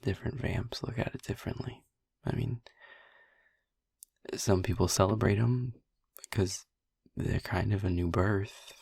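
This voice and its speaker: Man playing a low, husky, haunted vampire boyfriend. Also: steady tone, dry recording, clean studio sound, exaggerated voice. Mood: somber, reflective. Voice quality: breathy, husky, often near a whisper. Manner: halting, soft, hesitant, with long pauses. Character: brooding, tender male vampire boyfriend, haunted by his turning. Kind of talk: intimate boyfriend roleplay audio drama.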